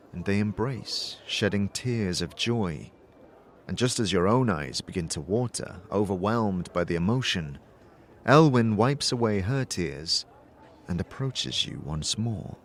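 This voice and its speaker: A man narrating a fantasy story in a scripted, dramatic style, with measured, descriptive delivery. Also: lowish voice.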